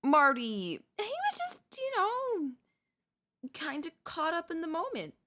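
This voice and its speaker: A woman's voice: extremely high pitch, huge pitch swings, takes it slowly, sharp tone, heavily acted. A woman playing a chatty, cheeky female zombie in a comedic audio roleplay, breezy and falsely friendly. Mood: nervous, placating. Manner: breezy coaxing, falsely friendly, awkward backpedalling.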